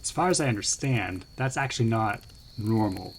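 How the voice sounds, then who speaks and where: A man's voice: lowish voice, expressive, normal speed, gravelly, a little hiss or hum. A man co-hosting a comedy podcast, playfully narrating scene cuts in an improvised story.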